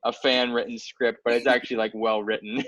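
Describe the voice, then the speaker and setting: A man's voice: mid-range voice, flat delivery, brisk, a bit bright, no echo. Casual man on slightly dull call audio. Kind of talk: casual chat.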